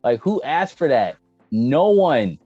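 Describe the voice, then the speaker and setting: An American man co-hosting a casual chat, slangy and profane, breaking into exclamations and halting as he gathers his opinions. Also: medium pitch, very animated, slightly rough. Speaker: podcast co-host. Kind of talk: casual banter about movies and anime. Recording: roomy, dull microphone sound with music behind.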